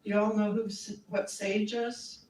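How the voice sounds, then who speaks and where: A woman's voice: medium pitch, level tone, normal speed, a little mellow, distant mic. A woman with a scratchy voice giving a friendly, chatty presentation to a community meeting, with small laughs and fillers. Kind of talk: informal presentation to a group. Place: meeting room. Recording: echoey room, voice from off-mic.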